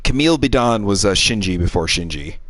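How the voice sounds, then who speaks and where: A man with a lowish voice chats casually as he streams a game. His pitch swings around a lot, and his commentary is sardonic, with exasperated asides. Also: expressive, a little fast, fairly bright tone, dry recording. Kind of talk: casual live gaming commentary.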